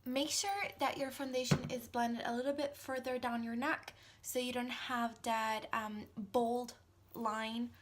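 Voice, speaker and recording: Woman, Italian accent, thirties, chatty how-to talk, slightly high voice, faint background hiss. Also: level tone, measured pace. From Italy. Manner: chatty, with frequent ums and restarts. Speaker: makeup tutorial host. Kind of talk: casual how-to narration.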